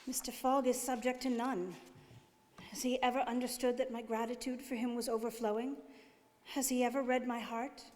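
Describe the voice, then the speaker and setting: A woman playing a gentle, devoted princess in a radio drama, formal, earnest and pleading. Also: a little high, level tone, normal speed, lightly acted. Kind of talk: stage radio drama acting. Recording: very reverberant studio hall.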